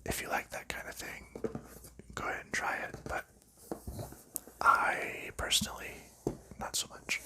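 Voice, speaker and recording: Man whispering a calm ASMR unboxing. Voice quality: soft unvoiced whisper. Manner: slow, calm, explanatory. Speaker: ASMR board game reviewer.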